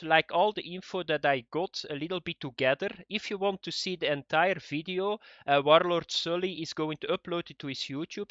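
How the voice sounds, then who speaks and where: A man whose first language is not English, giving viewers a casual gaming news update in a halting way, with lots of fillers and repeated words. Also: a little high, sharp tone, dry recording, muffled recording. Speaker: gaming video creator.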